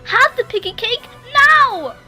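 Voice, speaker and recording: A woman voicing an excitable, stubborn young girl rabbit from a picture book, parroting short phrases. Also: very high-pitched, slow pace, soft background music, voice pushed up, heavily acted. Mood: loud, demanding.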